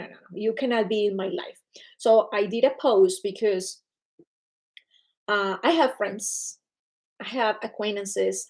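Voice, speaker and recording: A woman from Colombia with a Colombian Spanish accent, talking casually and energetically to viewers on her own livestream. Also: mid-range voice. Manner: energetic, chatty, greets viewers by name. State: recovering from illness. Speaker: livestream host.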